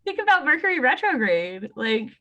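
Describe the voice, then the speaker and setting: A woman in her late thirties hosting a podcast, talking in a chatty, animated way with many fillers. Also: high-pitched, moderate pace, lo-fi sound. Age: late 30s. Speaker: podcast host. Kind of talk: casual chat.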